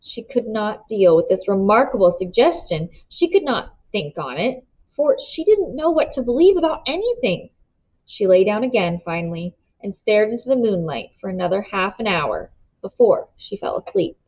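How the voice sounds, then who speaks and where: A woman reads a children's novel aloud in steady storybook narration. Her voice sits at a middle pitch. The recording sounds dull and lo-fi. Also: normal speed.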